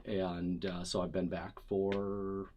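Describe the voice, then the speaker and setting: A man from Wisconsin, a paddle company sales rep, chatting in a relaxed, joking way over drinks. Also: deep voice, slow pace, highs cut off, slight background noise. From Wisconsin, USA. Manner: relaxed, joking, rambling with fillers. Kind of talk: casual interview chat. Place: indoors, at a table with drinks.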